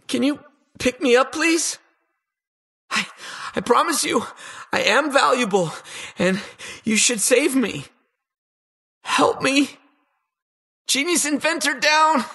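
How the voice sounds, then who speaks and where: An actor playing an eager, anxious and boastful toy-inventor hero in a video game, with a husky, slightly awkward delivery. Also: male voice, very high voice, slow, long pauses, sharp tone, exaggerated voice. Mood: pleading, awkward. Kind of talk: video game battle voice lines.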